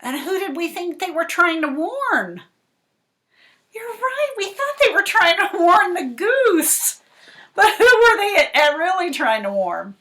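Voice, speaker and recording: A woman's voice: very high-pitched, very animated, takes it slowly, bright, forceful tone. A woman librarian talking expressively to children about a picture book, putting questions to them and responding as if they answered. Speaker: school librarian reading to children. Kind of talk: animated picture-book read-aloud and discussion for children.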